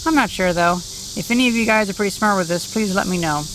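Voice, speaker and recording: Man, notably high voice, casual vlogger, unsure and self-effacing, outdoor recording with clear background noise. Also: fast talker. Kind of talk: casual hobby vlog narration. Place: outdoors in rural countryside. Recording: noisy outdoor field recording.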